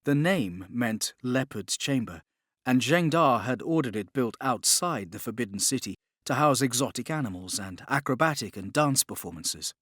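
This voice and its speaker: Expressive male audiobook narrator, very animated. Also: mid-range voice, moderate pace, no echo, studio quality. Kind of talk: scripted dramatic narration.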